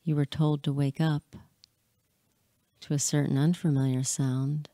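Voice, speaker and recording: A woman leading a hypnotic guided meditation in a soothing, measured way, pausing often between short phrases. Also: sits low, level tone, warm tone, dry recording, studio quality. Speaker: hypnotist guiding listeners.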